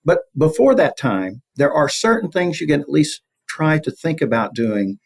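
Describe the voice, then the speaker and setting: A man's voice: on the high side, very animated, normal speed, gravelly. A man speaking as an expert interview guest, explaining things in a conversational, reflective way and rephrasing as he goes.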